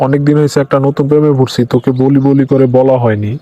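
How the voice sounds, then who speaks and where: A man's voice: medium pitch, rapid-fire, warm tone, dry recording, dull audio, close to an everyday voice. A man with a Bangladeshi accent playing a lovestruck, dreamy young man in a comic cartoon.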